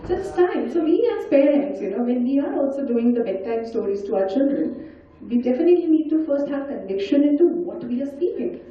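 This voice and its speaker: A woman giving an interactive storytelling talk, animated and coaxing as she puts questions and instructions to her listeners. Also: high-pitched, a little fast. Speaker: storyteller and speaker leading an audience activity. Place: large indoor event hall. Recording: very echoey hall, distant mic, dull sound.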